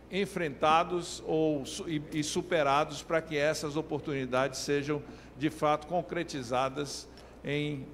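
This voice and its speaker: A man's voice: on the high side, long reverb tail. A middle-aged man from Maranhão giving a conference talk, hesitant, with many fillers and restarts. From Maranhão, Brazil. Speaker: keynote speaker. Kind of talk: conference presentation.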